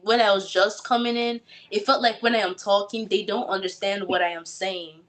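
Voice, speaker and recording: A woman's voice: medium pitch, steady tone, normal speed, fairly bright tone. A young woman with a Nigerian accent, answering interview questions about acting in a thoughtful way, full of likes and restarts. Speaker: guest actor.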